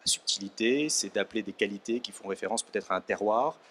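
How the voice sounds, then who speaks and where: A man speaking as a panel guest at a trade fair interview, measured and halting, often pausing on fillers, into a handheld mic. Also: lowish voice, a bit bright, a little hiss or hum. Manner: measured, hesitant with many fillers. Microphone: handheld microphone.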